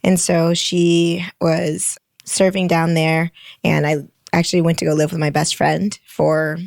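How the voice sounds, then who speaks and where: A woman's voice: lowish voice, little pitch movement, unhurried, gravelly, a little mellow, dry recording, clean studio sound. A woman in her late twenties, an engineer and podcast guest, explaining her work patiently in casual conversation and laughing often. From Michigan, United States. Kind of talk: conversational interview.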